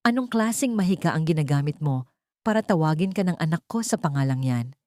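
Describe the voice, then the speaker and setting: A woman's voice: deep voice, animated, brisk, dark tone, dry recording, clean studio sound. A Filipino woman voicing an angry, suspicious, grieving rich father in an audiobook drama, accusing and menacing. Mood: menacing anger.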